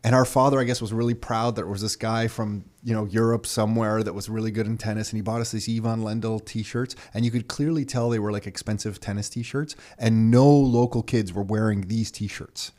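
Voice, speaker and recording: A man's voice: on the low side, fairly even intonation, a little fast, hardly any pauses, a little mellow. A Canadian man co-hosting a podcast, telling a story in a rambling, self-correcting way and laughing as he goes. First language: Croatian. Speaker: podcast co-host. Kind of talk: casual storytelling chat.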